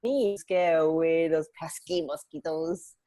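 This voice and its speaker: A woman's voice: deep voice, a little slow, mellow. A woman co-hosting a radio show in casual, hesitant, giggly chat. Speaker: radio co-host.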